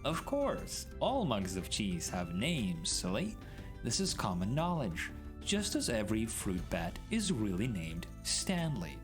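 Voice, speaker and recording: A man's voice: on the low side, wildly swooping pitch, slow. A man doing a dramatic storybook reading in a theatrical, mock-grand way. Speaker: streamer reading a story aloud. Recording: background music mixed under voice.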